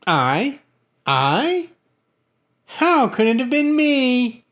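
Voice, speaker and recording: A woman voicing a crippled male court jester in a gothic tale, outwardly tranquil and quietly cunning, with measured delivery. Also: sits high, big pitch swings, exaggerated voice. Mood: feigned innocence. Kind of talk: dramatic gothic story reading.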